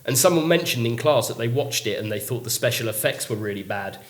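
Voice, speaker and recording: A chatty man talks over a podcast, trailing off into ums and likes. His voice sits at an everyday middle pitch, and he pokes fun at himself. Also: expressive, fairly quick. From England. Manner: chatty, lots of ums and likes, self-mocking. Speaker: English teacher. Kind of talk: casual podcast chat.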